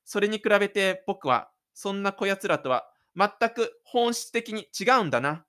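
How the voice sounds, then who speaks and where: Audio drama role: male actor as defensive high-school loner, male, cocky and scornful, talking to himself, voice a little high. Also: animated, normal speed, pushed and bright, clean tone. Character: shy, stubborn high-school boy boasting in his head. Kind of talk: inner-monologue radio drama acting.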